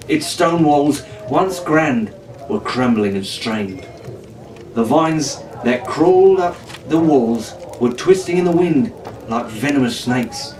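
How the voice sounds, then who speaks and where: A man's voice: medium pitch, a little mellow. A man reading a pirate adventure story aloud as a dramatic storyteller. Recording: echoey room, distant mic, faint noise.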